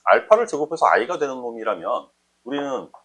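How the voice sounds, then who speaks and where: Male math tutor, husky voice with animated intonation; informal, explains step by step and checks in often. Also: mid-range voice, brisk. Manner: conversational, often checks understanding with short questions. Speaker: math teacher. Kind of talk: informal explanatory math lesson, thinking aloud.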